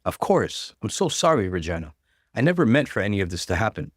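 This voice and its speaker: A man's voice: lowish voice, big pitch swings, brisk, dry recording, clean studio sound. A man voicing a scheming, seductive woman who pleads melodramatically in a story reading. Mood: tearful pleading.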